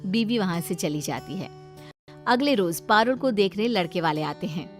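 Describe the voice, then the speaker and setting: A woman reading scripted storytelling narration for an animated moral tale. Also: mid-range voice, moderate pace, faint music behind.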